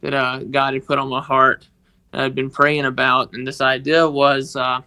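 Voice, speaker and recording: A man with a Mississippi accent, telling a personal story on a podcast in a halting, earnest way. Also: medium pitch, flat delivery, pushed and bright, clean tone. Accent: Southern US, Mississippi. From northeast Mississippi, United States. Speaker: podcast guest, organization member telling his story.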